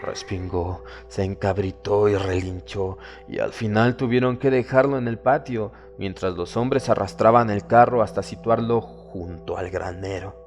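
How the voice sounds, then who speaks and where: A man with a fairly low voice narrates a horror tale. His delivery is solemn and measured, with dramatic emphasis on key phrases. Soft music plays behind him. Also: fairly even intonation. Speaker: audiobook narrator. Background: background music.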